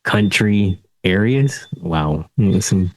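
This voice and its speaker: Low-voiced man hosting a casual podcast. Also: animated, slow, no room sound. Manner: chatty, laughs through stories. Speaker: podcast host. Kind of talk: casual interview conversation.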